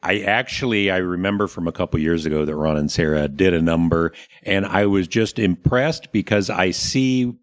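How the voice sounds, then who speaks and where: A man's voice: low voice, normal speed, few pauses. An American man co-hosting a podcast, talking casually about local theater and upcoming events. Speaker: podcast co-host.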